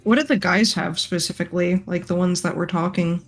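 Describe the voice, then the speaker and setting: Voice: mid-range voice, steady tone, brisk. A player in a casual tabletop roleplay session speaks in a mid-range voice. They talk hesitantly, with many fillers. Faint music plays underneath.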